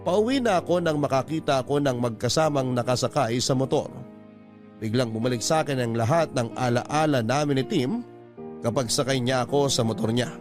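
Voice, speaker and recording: A man's voice: mid-range voice, a little fast. A man narrating a listener's love story as a radio host, in a solemn, sentimental, dramatic style. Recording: music bed behind narration.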